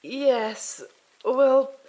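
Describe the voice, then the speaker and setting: A woman playing a calm, earnest, gently stern warrior nun in an audio drama, with a husky voice and measured, formal phrasing. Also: high-pitched, low-quality recording.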